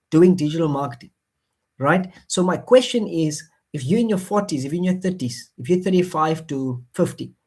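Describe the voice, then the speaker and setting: A man whose first language is Afrikaans, speaking English with an Afrikaans accent, giving a coaxing motivational sales pitch on a live phone stream, repeating himself often with many fillers. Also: darkish tone. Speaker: online marketing presenter.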